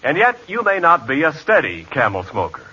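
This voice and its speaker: A man's voice: on the high side, huge pitch swings, fairly quick, bright, forceful tone, slightly rough. A man announcing and selling on 1940s radio in an emphatic, polished manner. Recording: muffled 1940s radio recording.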